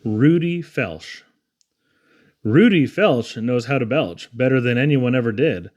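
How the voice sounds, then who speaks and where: A man reading humorous children's poetry aloud in a theatrical, rhythmic, expressive way. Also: mid-range voice, unhurried. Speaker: poetry reader.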